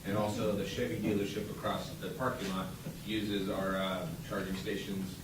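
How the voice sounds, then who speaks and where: A man's voice: lowish voice, little pitch movement, moderate pace, crowd noise. A man who works at a car dealership, introducing himself plainly with a lot of fillers. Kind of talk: casual self-introduction. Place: meeting room with a group. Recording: distant voice in an echoey crowded room.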